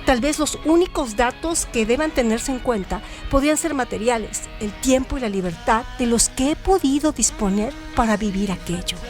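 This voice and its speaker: High-pitched woman narrating literature over music. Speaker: narrator, writer and director of an audio story show. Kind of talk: scripted literary narration and reading. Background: background music.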